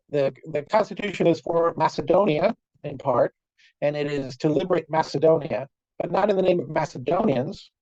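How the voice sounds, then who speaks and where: A scholar presents a lecture to an online symposium, using a mellow, dark-toned voice, wry understatement and lots of "uh" and "um" restarts. The sound is dull and lo-fi, picked up close on a computer mic in a dead, dry room. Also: medium pitch, no echo. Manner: wry, dry humour with frequent fillers and restarts. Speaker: conference speaker. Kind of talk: academic lecture. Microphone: computer mic on a video call. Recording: dull, lo-fi video call audio.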